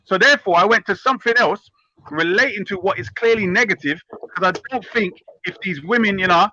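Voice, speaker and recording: A man's voice: high-pitched, fairly quick, bright, forceful tone. A man hosting a heated casual debate, blunt and provocative, pressing his points with "bruv". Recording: muffled stream with faint noise.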